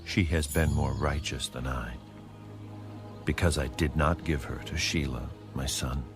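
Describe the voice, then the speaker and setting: Stern biblical patriarch, extremely low voice, grave and commanding, music underneath. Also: male voice, fairly even intonation, measured pace. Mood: solemn, humbled. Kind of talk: dramatized audio Bible.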